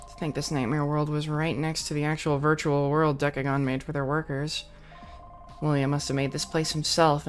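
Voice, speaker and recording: A woman's voice: deep voice, natural voice. A woman voicing a defiant young heroine with an indignant, determined manner, reading a visual novel aloud. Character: betrayed young woman hero, defiant and resolute.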